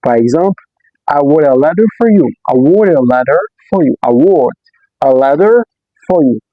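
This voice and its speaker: Man teaching slowly, lo-fi recording. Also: a little high, animated, no echo. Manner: repeats example sentences slowly, switches between Creole, French and English; frequent "ok?" and "let's go, guys" prompts. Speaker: English teacher. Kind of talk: beginner language lesson. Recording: dull lo-fi dry recording.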